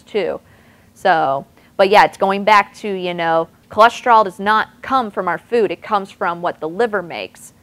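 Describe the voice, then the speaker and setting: A woman's voice: mid-range voice, fairly bright tone. A woman presenting on nutrition, answering audience questions in a chatty way with lots of "like" and "you know". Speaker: nutrition presenter at a clinic talk. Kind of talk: audience Q&A session.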